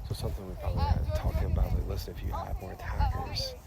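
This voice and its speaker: Deep-voiced man talking fast on a field. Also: huge pitch swings, gravelly. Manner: quick, thoughtful, offering suggestions. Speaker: coaching mentor. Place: outdoor sports field. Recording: loud outdoor noise on a field.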